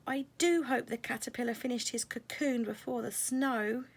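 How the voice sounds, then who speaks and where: A woman's voice: a little high, fairly even intonation, normal speed, pitched above the performer's own voice. A woman voicing a kind young butterfly fairy in a children's storybook, earnest and pleading. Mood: worried.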